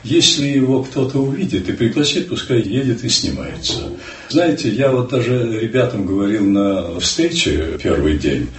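Older male actor, low voice with a lively melody, recorded from a distance in a reverberant room. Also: moderate pace, a little hiss or hum. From Ukraine. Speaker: stage actor, interview guest. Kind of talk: reflective interview answers.

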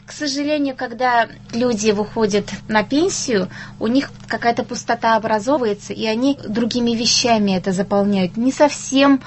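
A woman's voice: fairly high pitch, moderate pace, hardly any pauses, a little hiss or hum. A woman working as an interviewer, asking questions and commenting in a conversational style.

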